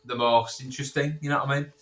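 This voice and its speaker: Roomy echo, northern English man, bright voice, casual joking podcast guest. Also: medium pitch, steady tone, fast. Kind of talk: casual chat. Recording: echoey, reverberant room.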